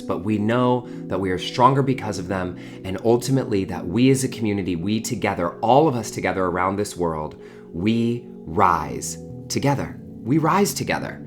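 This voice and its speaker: A man in his early thirties speaks to his online community as its host, in an average-pitched voice. His delivery is informal and gushing, with words repeated for emphasis. Faint music sits behind him. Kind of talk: informal livestream talk to a community.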